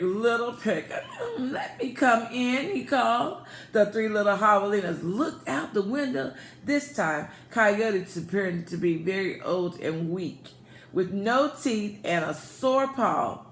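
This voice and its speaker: A woman reading a picture book aloud as a storyteller, expressive and prompting young listeners. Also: medium pitch, takes it slowly. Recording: echoey room with faint background noise.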